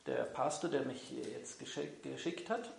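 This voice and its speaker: A man's voice: medium pitch, normal speed, creaky. A man leading a church gathering and interpreting a guest's words, friendly and hesitant, with frequent filler sounds. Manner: friendly, hesitant with frequent ums, switching languages. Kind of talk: church announcement and live interpreting. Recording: echoey hall.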